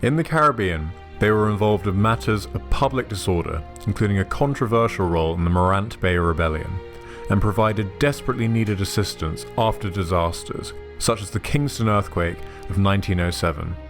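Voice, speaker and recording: A man giving measured, scripted documentary narration. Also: fairly low pitch. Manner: measured, even documentary delivery. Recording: soft music underneath.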